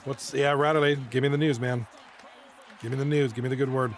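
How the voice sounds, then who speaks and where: A man with a hoarse, fading voice doing casual play-by-play commentary on a live stream, chatting with viewers between plays. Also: mid-range voice, fairly even intonation, brisk, a faint crowd. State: hoarse, losing his voice. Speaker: esports league commentator.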